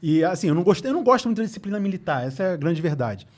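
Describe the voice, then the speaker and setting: A man from Rio with a clear Carioca accent talks quickly and keeps the story moving. His voice sits a little high for a man, and the delivery is relaxed and chatty, full of small asides. He sounds like a guest sharing memories in a podcast studio. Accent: Carioca Portuguese. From Rio de Janeiro, Brazil. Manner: fast, animated storytelling with easy laughs. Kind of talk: casual podcast conversation.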